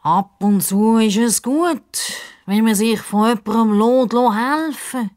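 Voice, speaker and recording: A woman voicing a self-important, dreamy male crocodile in a children's story, with a pompous, deliberate delivery and a Swiss German accent. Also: fairly high pitch, a little mellow, pitched above the performer's own voice.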